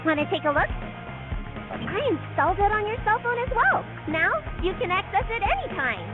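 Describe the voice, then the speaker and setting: A woman's voice: very high-pitched, sing-song, normal speed. An actress playing a cheerful young female new hire in a video game, politely explaining things in a perky way. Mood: perky, helpful. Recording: phone-like thin sound, music behind.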